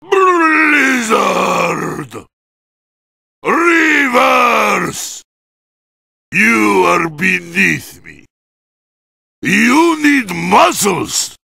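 A massive, fierce Russian wrestler from a fighting game. He shouts his boasts and battle cries in a deeply gravelly voice with a Russian accent, pushed high with strain. The delivery is bombastic and taunting. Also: very animated, drawn-out words, long pauses, no echo, full character voice. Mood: domineering. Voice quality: gravelly, raspy.

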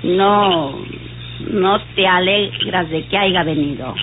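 A woman's voice: fairly low pitch, takes it slowly. Sly country woman, tinny radio. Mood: feigned hurt. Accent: northern Mexican. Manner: colloquial country speech. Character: sly, scheming rustic woman. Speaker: radio actress. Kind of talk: old radionovela acting. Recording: noisy, tinny old radio recording.

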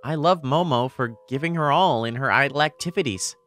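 Woman voicing an earnest low-voiced teenage boy. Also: a little fast, over loud music. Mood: reflective. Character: earnest, easily flustered teenage boy, idol's fiancé. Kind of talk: manga dub dialogue and first-person narration.

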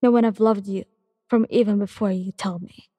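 A desperate young male hero pleads and confesses his love in a storybook reading, as a woman reads his lines. The voice is soft and breathy, with a long reverb tail. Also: medium pitch, steady tone, normal speed, close to an everyday voice. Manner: pleading, emotional.

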